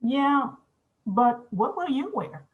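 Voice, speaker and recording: A woman's voice: sits high, big pitch swings, takes it slowly, heavily acted. A woman playing a stubborn, sulky eleven-year-old girl in a screenplay table read, over a tinny line. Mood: skeptical.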